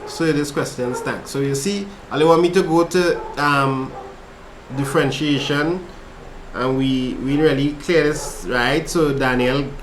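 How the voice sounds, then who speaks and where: A man's voice: fairly high pitch, unhurried, echoey room, background noise. A man with a Trinidadian accent who tutors maths over a casual livestream and chats with viewers between steps. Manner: relaxed, chatty, reads chat aloud while working problems. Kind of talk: casual livestream study session.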